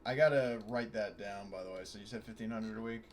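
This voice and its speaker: A man chatting casually as a player in a tabletop game, halting as he thinks aloud. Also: fairly low pitch, a little hiss or hum.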